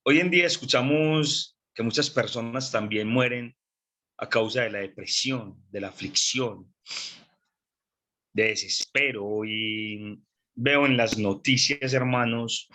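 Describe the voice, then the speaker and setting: A man preaching a sermon, congested with a cold, speaking slowly and deliberately with repeated phrases and frequent throat clearing. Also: lowish voice, sharp tone.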